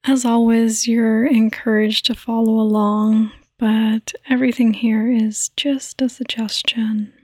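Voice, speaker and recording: A woman speaks in a soft, breathy near-whisper with a mellow tone. She guides listeners toward sleep gently and soothingly, keeping her delivery very even and unhurried. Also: fairly high pitch, dry recording, clean studio sound. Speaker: podcast host and meditation guide.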